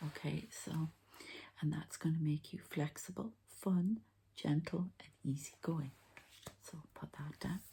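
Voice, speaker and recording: A woman speaks low and mellow in an ASMR healing session, slipping in and out of a breathy whisper. Her voice is very creaky, and she murmurs to the listener without hurry as she reads the cards. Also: fairly even intonation, rough recording. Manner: hushed, unhurried, murmuring to the listener. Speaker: ASMR energy healer and card reader. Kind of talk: whispered ASMR card reading.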